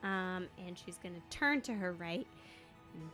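A woman's voice: lowish voice, animated, measured pace, sharp tone, faint music behind. A woman playing a tabletop role-playing game, unsure about the rules and a little apologetic. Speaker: player. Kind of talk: casual tabletop game play.

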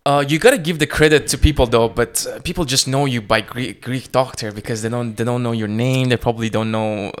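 A man's voice: mid-range voice, fairly quick, few pauses. A man hosting a podcast, asking questions in a friendly, joking, casual way. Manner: friendly, joking, lively asides. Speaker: podcast host. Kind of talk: casual interview.

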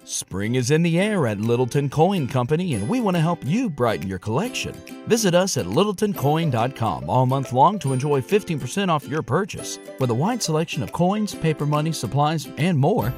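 A man's voice: fairly high pitch, sing-song, a little fast, darkish tone, faint music behind. A man reading a scripted advertisement as a commercial announcer. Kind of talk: scripted ad read.

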